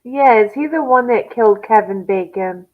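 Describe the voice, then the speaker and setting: Woman chatting over a tinny phone line. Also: fairly high pitch. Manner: agreeable, quick to answer yes. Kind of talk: casual chat.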